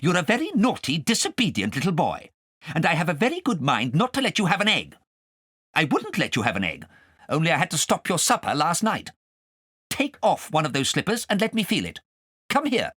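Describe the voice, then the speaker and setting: A strict storybook governess, cross and fussy, put on by a male reader. She nags sharply in a lifted pitch with lively swoops. The recording is dry. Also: fairly quick, breathy edge, dry recording, exaggerated voice. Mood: cross, scolding. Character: strict, cross governess, scolding adult woman.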